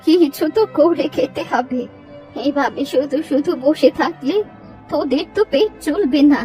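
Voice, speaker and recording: A high-pitched, kindly old village grandmother from a cartoon, acted by a woman. She talks in a homely, motherly, exclamatory way. The voice sits a little away from the mic with music behind it. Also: measured pace, warm tone, recorded from a distance. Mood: caring, coaxing. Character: kind old village granny, sheltering and advising. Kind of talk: Bengali moral-story cartoon dub.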